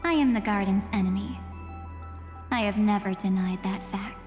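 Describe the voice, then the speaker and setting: An actress playing a cold, self-assured, enigmatic female antagonist in a video game, speaking in measured, coolly threatening phrases. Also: medium pitch, a little mellow, soft background music, natural voice. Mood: cold menace. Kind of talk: dramatic video game voice acting. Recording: long cavernous reverb.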